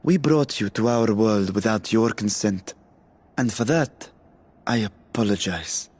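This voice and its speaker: A man's voice: lowish voice, unhurried, a little mellow, breathy edge. A man playing a courteous, earnest nobleman in a game cutscene, speaking formally and politely. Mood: gracious. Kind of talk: video game cutscene acting. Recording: music behind.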